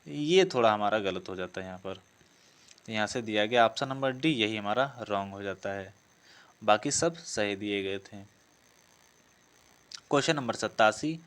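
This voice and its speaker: A man's voice: mid-range voice, a bit bright. Repetitive, self-correcting man teaching exam questions. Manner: reads each option aloud, then confirms with "bilkul". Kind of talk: explaining practice questions in a lesson.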